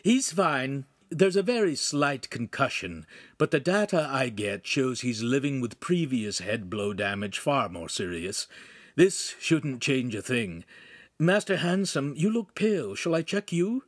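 A man acting small holographic imp, theatrical mock-demon, grandiose declaiming, pitched up, very animated, audiobook character. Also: unhurried, no echo, clean studio sound. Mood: earnest, attentive. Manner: grandiose, florid, dramatic declaiming.

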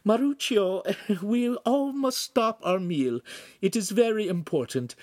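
A man voicing an excitable middle-aged wife of a master craftsman, high and shrill. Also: takes it slowly, no echo, studio quality. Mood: explaining.

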